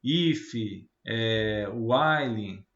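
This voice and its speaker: A man's voice: lowish voice, drawn-out words, a bit bright. Brazilian guy teaching a tech class, casual explainer style, keeps checking you follow, roomy kinda dull audio. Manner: didactic, with frequent tag questions seeking agreement. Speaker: technical teacher. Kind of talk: informal lecture on slides. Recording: roomy, slightly muffled lo-fi sound.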